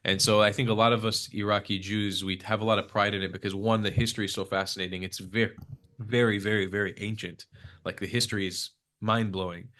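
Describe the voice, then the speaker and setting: Young man, Hebrew first language, lowish voice, loose rambling guest chatter. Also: little pitch movement, quick pace, fairly bright tone. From United States. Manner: rambling, many fillers and restarts. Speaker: podcast guest. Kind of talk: casual conversational interview. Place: Israel.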